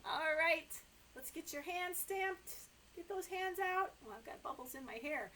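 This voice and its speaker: A middle-aged American woman reading and chatting to toddlers as a story time host, in an animated, exaggerated way, recorded indoors by a camera a short way in front of her. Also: very high voice, a little slow, a little hiss or hum, rough recording. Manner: animated, exaggerated expression for young children. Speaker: children's librarian hosting story time. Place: small indoor room with sofa.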